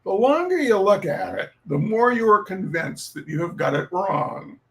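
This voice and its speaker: A man's voice: high voice, sing-song, moderate pace. A man reading humorous essays aloud in a theatrical, emphatic way, with casual delivery and comic repetition.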